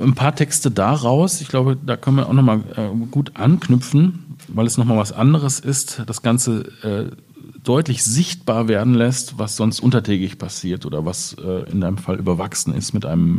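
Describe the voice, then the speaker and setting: A man speaking as a poet at a literary reading, in measured, clearly phrased German delivery. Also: sits low, dark tone. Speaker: poet reading his own work. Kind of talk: literary reading of poems with informal introduction.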